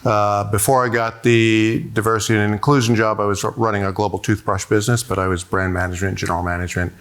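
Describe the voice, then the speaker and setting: A man's voice: lowish voice, level tone, fast talker, a bit bright, smooth. A middle-aged man telling a personal story on a conference panel, earnest, with frequent hesitations. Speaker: panelist, diversity executive.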